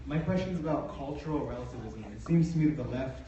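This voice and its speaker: A man's voice: mid-range voice, fast talker, mellow, a little hiss or hum. Hesitant young man asking a question. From United States (New Jersey). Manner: hesitant, many fillers, polite. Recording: echoey hall, distant, dull sound.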